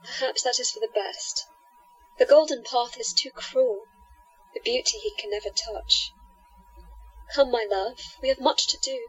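An actress playing a coolly amoral, playful lover in a radio drama. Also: female voice, a little high, unhurried, pauses often, fairly bright tone, breathy edge, full character voice. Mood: cool, tender. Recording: dull lo-fi audio.

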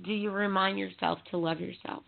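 Creaky, candid woman on a phone-like line. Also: mid-range voice, a little fast. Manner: candid, self-deprecating, animated storytelling. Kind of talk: casual chat. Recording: dull phone-like audio.

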